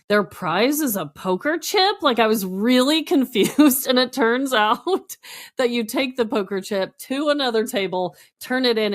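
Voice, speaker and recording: Chatty, self-deprecating woman podcaster. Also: fairly high pitch. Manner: animated retelling with acted-out quotes, self-deprecating; laughs while talking. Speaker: podcast co-host. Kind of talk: casual chat, personal storytelling.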